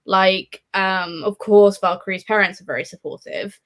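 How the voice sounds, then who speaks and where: A woman's voice: mid-range voice, fairly even intonation, normal speed. Informal, sweary woman chatting about books. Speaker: participant in a live book readalong discussion. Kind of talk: casual chat about books.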